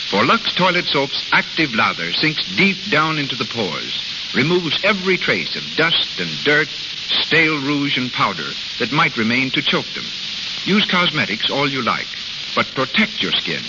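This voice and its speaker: A man reading a scripted 1930s radio advertisement as announcer, persuasive and emphatic. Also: medium pitch. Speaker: commercial announcer. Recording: hissy, muffled old radio broadcast, heavy noise.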